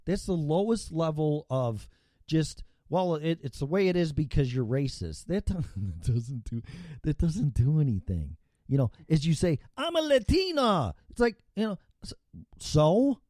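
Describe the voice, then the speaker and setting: Casting: middle-aged male talk host, blunt, profane and emphatic, very lively intonation, relaxed timbre. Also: a little high, no echo, studio quality. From Chicago area, United States. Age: middle-aged or older. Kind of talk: casual opinionated chat.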